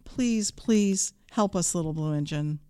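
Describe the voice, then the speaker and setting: A woman's voice: on the low side, lively intonation, a little fast, dark tone, no echo, clean studio sound, natural voice. Woman voicing imploring little dolls and toys. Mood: pleading. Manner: imploring, polite. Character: crowd of little dolls and toys, pleading earnestly.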